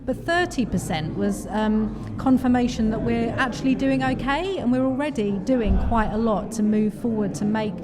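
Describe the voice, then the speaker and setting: A woman's voice: a little high, normal speed, hardly any pauses. A woman producer giving earnest, emphatic interview answers in a conversational way. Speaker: theatre associate producer. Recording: busy crowd chatter behind. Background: crowd chatter at an event.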